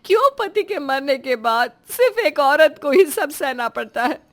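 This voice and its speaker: A woman's voice: fairly high pitch, a little slow, fairly bright tone. A woman voicing a meek, despairing young widow in a melodramatic audio story, speaking in a plaintive, tearful manner.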